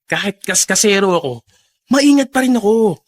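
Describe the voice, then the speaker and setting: A haughty, spiteful young Filipino. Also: male voice, sits high, lively intonation, normal speed. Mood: cocky. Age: young adult. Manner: haughty, scornful, commanding. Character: spiteful, arrogant rich young son, reckless and contemptuous. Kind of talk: Filipino radio drama acting.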